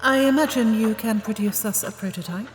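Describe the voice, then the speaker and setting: A woman playing a commanding, protective queen with a deliberate, authoritative manner in a fantasy audio drama. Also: on the high side, natural voice. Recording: echoey hall, music behind.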